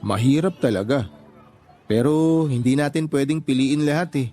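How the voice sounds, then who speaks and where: A man playing a calm, supportive young boyfriend in a Tagalog radio drama, speaking in a reassuring, conversational way. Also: mid-range voice, normal speed, soft background music, lightly acted. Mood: sympathetic, reassuring.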